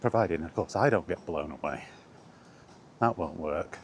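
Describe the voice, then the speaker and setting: A man's voice: low voice, expressive, normal speed. A man chatting casually to camera while out walking, in a rambling, self-deprecating way. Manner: rambling, self-deprecating, mild exasperated swearing. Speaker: vlogger. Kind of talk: casual walking vlog chat. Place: outdoors on a path in windy weather. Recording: outdoor camera audio with background noise. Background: outdoor ambience, wind.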